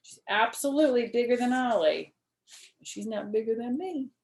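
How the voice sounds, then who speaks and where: A woman's voice: a little high, moderate pace, a little mellow. An older woman, a grandmother, reading aloud to children in a chatty storytime style and putting simple questions to her listeners.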